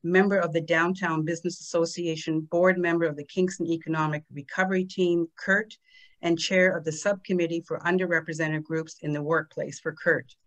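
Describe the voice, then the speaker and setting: A woman's voice: on the low side, steady tone, fairly quick, rough recording. Woman host reading a guest's bio. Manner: reads a formal bio, correcting a slip and adding a friendly aside. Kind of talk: reading a speaker introduction.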